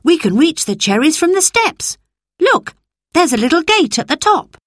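A woman's voice: sits high, normal speed, dry recording, clean studio sound, voice pushed up. A woman doing an urgent, eager young boy. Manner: urgent, excited.

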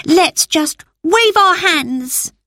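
A woman reading aloud and voicing a timid little girl in a storybook, with a hushed, easily scared manner. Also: very high-pitched, expressive, extremely slow, no echo, clean studio sound, pitched above the performer's own voice, exaggerated voice.

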